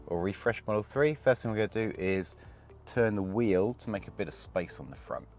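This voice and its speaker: A man with a gravelly voice from a cold, casually talking through a hands-on installation while he works. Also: fairly low pitch, fairly quick. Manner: relaxed, chatty, talks while working with hands. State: hoarse from a cold. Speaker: product installer demonstrating. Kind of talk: casual how-to demonstration.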